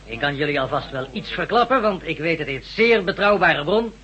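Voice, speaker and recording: An actor in a radio drama playing an upbeat male camp chief who makes announcements briskly and heartily. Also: a little high, lively intonation, pushed and bright, highs cut off. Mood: excited, confiding.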